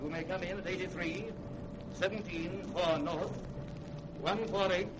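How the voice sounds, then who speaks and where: An actor playing a stern, aloof scientist in a 1950s radio drama, speaking formally and imperiously. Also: male voice, high-pitched, fairly even intonation, a little slow. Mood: grave warning. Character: stern, aloof male scientist warning visitors away. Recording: noisy 1950s radio recording.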